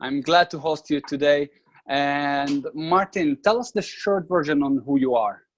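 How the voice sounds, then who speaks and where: A man hosts an interview show in a somewhat high voice. He introduces his guest in a measured way, pausing now and then on small hesitations. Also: muffled recording, slight background noise. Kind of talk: hosting an interview.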